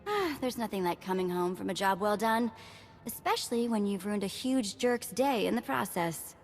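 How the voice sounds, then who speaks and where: A woman's voice: medium pitch, animated, moderate pace, long reverb tail, soft background music, close to an everyday voice. An actress playing a chirpy, quipping female sidekick on a heist in a video game. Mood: cheerful, teasing. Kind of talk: video game cutscene acting.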